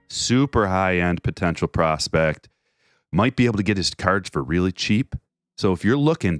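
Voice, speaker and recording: A deep, bass-voiced man chats casually through a livestream, his pitch swinging widely as he jokes and reads viewer messages. The sound is dry and close to the mic. Faint music plays underneath. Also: no echo. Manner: chatty, jokey, reads viewer messages aloud. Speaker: card break streamer.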